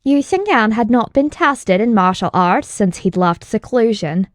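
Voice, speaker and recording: A woman reading scripted fantasy narration as an audiobook narrator, in a measured, dramatic storytelling manner. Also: fairly high pitch, lively intonation, no room sound, clean studio sound.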